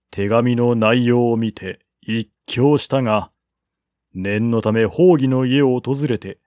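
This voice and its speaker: Male narrator for literary historical fiction: unhurried, formal, mid-pitched, close dry recording. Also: darkish tone, dry recording. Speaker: audiobook narrator.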